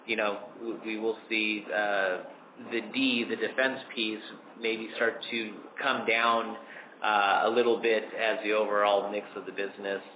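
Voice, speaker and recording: Male company executive, low voice, halting measured answers, echoey phone conference line with background noise. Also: phone-quality sound. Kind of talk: earnings call answers.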